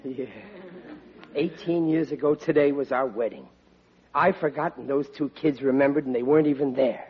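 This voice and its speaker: A man's voice: medium pitch, normal speed, natural voice. An actor playing a goofy, forgetful middle-aged husband and father with a slangy Brooklyn accent in a vintage radio sitcom. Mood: cheerful, forgetful. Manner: blustering, slangy, excitable. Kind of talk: 1940s radio sitcom acting. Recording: muffled, very noisy vintage radio recording.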